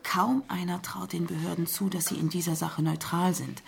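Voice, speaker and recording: Creaky-voiced, opinionated woman. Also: fairly low pitch, level tone, normal speed, slight background noise. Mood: accusing. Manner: pointed, insistent. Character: opinionated, suspicious woman challenging a detective. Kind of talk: radio crime drama acting.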